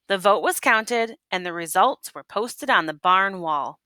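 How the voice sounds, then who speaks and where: A woman reading a children's picture book aloud in an expressive, teacherly way, pausing to prompt listeners with questions. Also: medium pitch, no echo. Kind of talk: expressive read-aloud storytelling with asides and questions.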